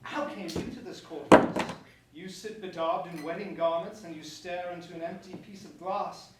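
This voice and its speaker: A man's voice: sits high, fairly even intonation, normal speed, lightly acted. A man playing a grandiose, lovestruck young prince in a staged verse comedy, with formal theatrical declamation. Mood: concerned. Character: pompous young prince, grandiose, softened by love. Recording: very echoey room, distant mic, faint noise.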